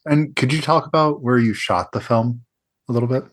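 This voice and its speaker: A man's voice: mid-range voice, normal speed. A man interviewing a filmmaker in a thoughtful, reflective way, often restarting his sentences. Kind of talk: conversational interview.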